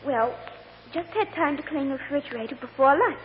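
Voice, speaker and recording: High-voiced innocent little girl. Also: lively intonation, a little fast. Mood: hesitant, earnest. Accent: American. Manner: simple, hesitant childlike answers. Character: innocent, earnest little girl testifying in court. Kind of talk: vintage American radio drama acting. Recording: muffled old radio recording, echoey, faint hiss.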